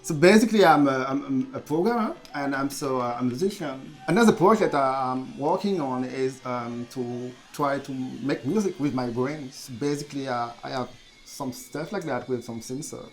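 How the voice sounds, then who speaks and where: A man with a French accent, a programmer and musician, talking casually in halting English full of restarts. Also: mid-range voice. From France. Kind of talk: casual interview. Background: faint music.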